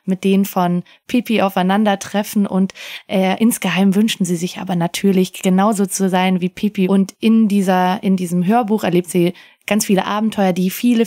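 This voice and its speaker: A woman's voice: medium pitch, dark tone. A woman talking casually as a podcast host.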